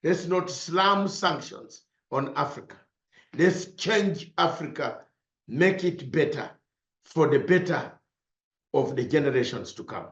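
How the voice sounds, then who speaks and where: A man with a high voice speaks slowly and declaims, hammering points home through repetition. The sound is roomy and a little dull, as if recorded in an echoing space with modest equipment. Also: animated, a bit bright. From Uganda. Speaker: broadcaster. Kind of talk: impassioned political speech.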